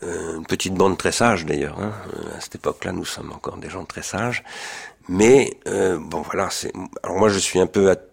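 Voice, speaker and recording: An older man from France with a deep, gravelly voice tells his life story in an interview. He speaks in a searching way, pausing to find the right word and amending what he has just said. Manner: hesitant, searching for words, self-correcting. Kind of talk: autobiographical recollection in an interview.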